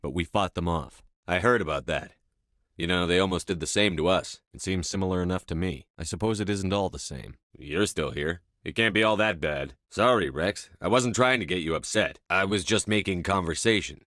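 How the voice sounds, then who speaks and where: Low-voiced, resolute military commander. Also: male voice, a bit bright, dry recording, studio quality. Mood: conversational. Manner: measured, firm, clipped military delivery. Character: resolute male military commander in a sci-fi game, calm authority. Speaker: voice actor in a video game. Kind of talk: scripted video game dialogue.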